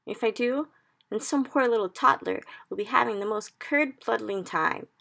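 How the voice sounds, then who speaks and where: A woman's voice: on the high side, normal speed, creaky, voice pushed up. A woman voicing a big, friendly male giant who mangles his grammar and cries out in anguish over a caught nightmare. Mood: earnest. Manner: ungrammatical made-up words, loud anguished exclamations.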